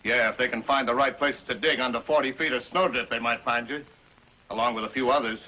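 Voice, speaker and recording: Husky, hard-edged outlaw henchman. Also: mid-range voice, fairly even intonation, fast, bright, forceful tone. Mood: cocky. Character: menacing, cocky outlaw henchman with a gun. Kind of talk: 1950s television western acting. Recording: dull vintage television soundtrack.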